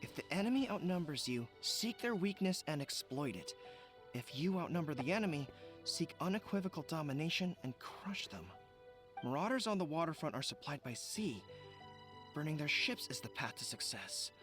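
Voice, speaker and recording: An earnest young general from a video game, with a high-pitched voice. He is dutiful and formal, and faint music plays behind him. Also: sing-song, moderate pace. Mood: reciting, resolute. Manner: earnest, formal military phrasing. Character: earnest young male army general, dutiful, rule-bound.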